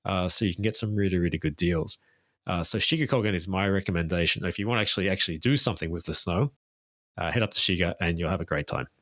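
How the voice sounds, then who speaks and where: Man, tour guide on a podcast, fairly low voice, dry close sound, informative and keen. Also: fast, fairly bright tone, no echo. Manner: detailed, informative, enthusiastic recommendations. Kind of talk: casual interview chat.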